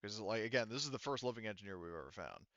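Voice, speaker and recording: A man running a tabletop roleplaying game as game master, explaining things in a halting, rambling way. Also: fairly low pitch, sing-song, fairly bright tone, no echo, highs cut off.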